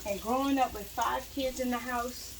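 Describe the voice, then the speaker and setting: A woman's voice: on the high side, fairly even intonation, normal speed, noisy background. A young woman, a cooking vlogger, chatting casually as she fries food in her home kitchen and moves around the stove.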